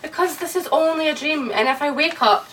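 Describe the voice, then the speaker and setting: Frightened young wife, urgent radio melodrama, very bright tone, distant old recording. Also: female voice, sits high, a little fast, a little hiss or hum. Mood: uneasy. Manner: urgent, pleading, emotional. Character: frightened, desperate young wife trapped in nightmare. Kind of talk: old radio drama acting.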